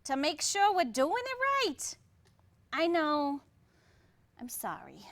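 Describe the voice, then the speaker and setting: An actress playing a chatty, wistful, put-upon 1950s housewife in a staged play reading, with an animated, expressive delivery. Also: female voice, sits high, no room sound, heavily acted. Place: theatre stage.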